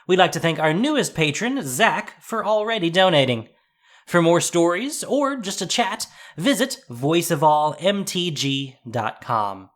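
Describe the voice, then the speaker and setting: A man's voice: a little high, expressive, unhurried, a bit bright. A man narrating a scripted story as a dramatic storyteller.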